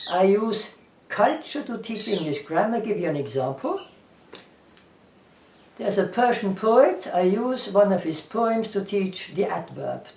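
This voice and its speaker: A man's voice: high voice, darkish tone. A man with a German accent, speaking as an English teacher in a casual talk to camera, earnest, repeating himself and listing ideas one by one. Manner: earnest, repeats himself, lists things, quotes scripture. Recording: echoey room, far from the mic.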